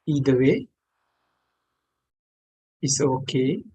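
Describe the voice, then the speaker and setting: Male meditation teacher, non-native English, very slow delivery, mid-pitched, gentle phrases with long pauses. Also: expressive, husky, dull audio. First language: not English. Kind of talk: guided meditation instruction.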